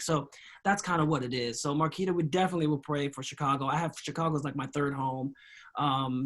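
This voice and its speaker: A man's voice: a little high, a bit bright. A man hosting an inspirational talk show over a video call, speaking in an encouraging, faith-filled way. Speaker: host.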